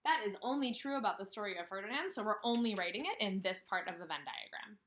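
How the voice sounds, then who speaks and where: A woman in her thirties giving a kindergarten read-aloud lesson, speaking with emphasis and pausing after questions as if for children to answer. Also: mid-range voice. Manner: animated, asks questions and pauses for answers, slow emphatic phrasing. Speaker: kindergarten teacher. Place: small indoor room with brick wall.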